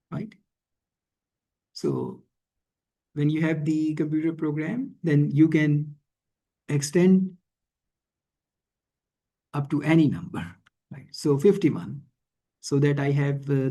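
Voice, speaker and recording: A man teaching in a screencast tutorial, thinking aloud haltingly and often checking in with a questioning tag. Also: on the high side, dark tone. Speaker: instructor. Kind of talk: screencast programming and statistics tutorial.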